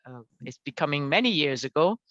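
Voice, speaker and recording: A woman's voice: sits low, lively intonation, a little fast, a bit bright, dry recording. A woman from Guyana, a senior lecturer, opening an academic webinar in a hesitant way, with many fillers and restarts. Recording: dull lo-fi call audio.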